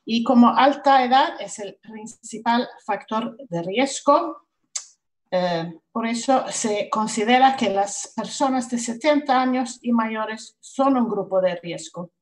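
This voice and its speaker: Swedish-accented woman reading carefully, higher voice. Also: poor audio. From Sweden. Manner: careful, deliberate reading with hesitations. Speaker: social worker, panelist. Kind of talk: reading a prepared talk.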